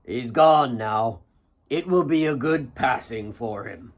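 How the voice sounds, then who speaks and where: A man voicing a grave, caring old mentor in an audiobook, slow, earnest and urging. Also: medium pitch, highs cut off.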